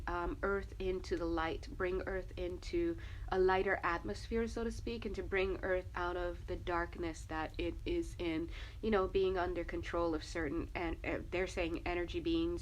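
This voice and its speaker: A woman's voice: medium pitch, a little hiss or hum, poor audio. A woman with a stuffy, sniffly nose, chatting casually through a spiritual tarot reading, with lots of fillers and little laughs. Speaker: tarot reader.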